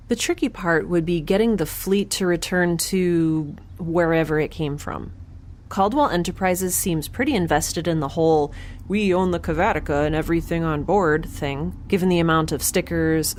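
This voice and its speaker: A woman's voice: sits low, a little slow, a little mellow, a little hiss or hum. A woman playing a wry, stubborn young scientist in space, with dry, hesitant conversational delivery in an audio drama. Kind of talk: scripted audio drama, conversational monologue and dialogue.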